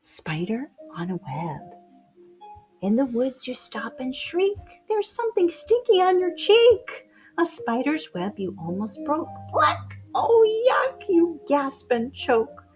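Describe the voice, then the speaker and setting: A woman reading rhyming picture book poems aloud to children in a theatrical way, moving between hushed whispers and loud exclamations. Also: high-pitched, huge pitch swings, takes it slowly, soft background music. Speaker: children's storybook reader.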